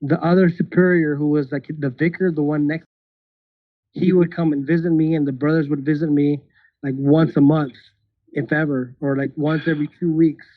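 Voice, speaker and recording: A man's voice: on the high side, little pitch movement, moderate pace, no echo. A man in his thirties, a podcast guest speaking casually with hesitant restarts and nervous laughs. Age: 30s. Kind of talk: casual interview. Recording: tinny phone-like call audio.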